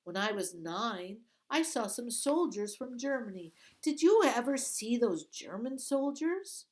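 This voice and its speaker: A woman's voice: a little high, very animated, voice pushed up. A woman reading aloud, voicing a clever young girl who bluffs her way past soldiers with a rush of talk. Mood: chattering. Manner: chattering, spilling words out fast. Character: quick-talking clever peasant girl, bluffing.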